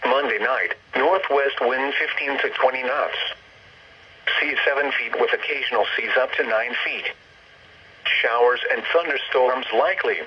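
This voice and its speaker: A man's voice: medium pitch, moderate pace. A synthetic male voice reading a scripted weather bulletin over the radio in a formal, impersonal, list-like way. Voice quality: synthetic text-to-speech voice. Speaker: automated weather radio announcer. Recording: dull lo-fi radio broadcast, faint noise.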